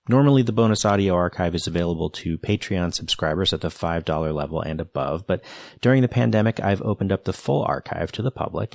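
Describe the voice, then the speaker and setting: A man's voice: low voice, creaky, a little mellow, no room sound. A man hosting a podcast, reading an introduction and then chatting earnestly and enthusiastically with a guest, often restarting his sentences. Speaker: podcast host. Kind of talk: read intro then casual interview chat.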